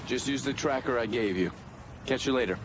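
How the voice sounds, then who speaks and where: An actor playing an easygoing, wry underworld ally with a casual delivery in a video game cutscene. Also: male voice, mid-range voice, fairly quick, loud music behind the voice, lightly acted.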